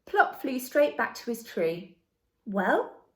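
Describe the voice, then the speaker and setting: A woman reading a children's story aloud as narrator, in an expressive and deliberate manner. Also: medium pitch. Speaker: storybook reader.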